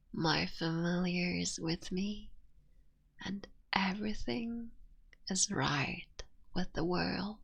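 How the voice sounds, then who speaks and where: An affectionate kitsune witch acted by a woman speaks very slowly in a low-leaning, heavily creaky voice. She slips between soft talk and breathy whispers, intimate and playful with a wistful side, as in an ASMR roleplay. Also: full character voice. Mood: tender, reflective.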